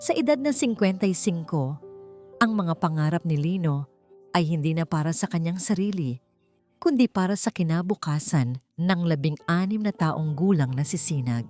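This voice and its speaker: A woman with a Filipino accent narrating a story in a measured, dramatic, suspenseful audiobook style. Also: lowish voice, expressive, dark tone, faint music behind.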